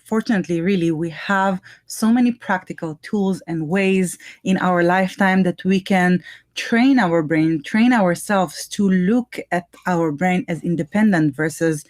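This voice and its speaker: A woman's voice: fairly low pitch, unhurried, darkish tone, dry recording, studio quality. A woman with a Hebrew accent, co-hosting a talk show and earnestly explaining ideas. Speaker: podcast co-host. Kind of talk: casual chat.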